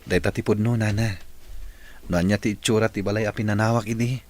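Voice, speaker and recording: A man's voice: lowish voice, steady tone, quick pace, close to an everyday voice. An actor playing an earnest, polite young man in a radio drama, speaking with an Ilocano accent. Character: earnest young man, respectful boss seeking his mother. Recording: radio drama with noticeable background noise.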